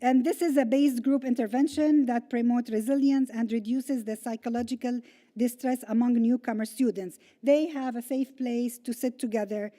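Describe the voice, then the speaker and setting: Halting woman presenting to a room. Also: a little high, dark tone. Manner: hesitant, many fillers and restarts. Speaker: school programs staff presenter. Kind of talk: presentation with slides. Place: meeting room.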